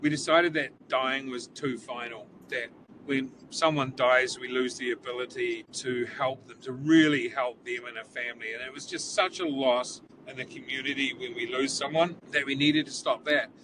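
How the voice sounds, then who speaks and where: A man's voice: medium pitch, fairly even intonation, moderate pace. A man in his forties from New Zealand with a New Zealand accent, a police chief talking earnestly in a casual interview and repeating words as he thinks, recorded inside a police cruiser. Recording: distant mic, vehicle noise.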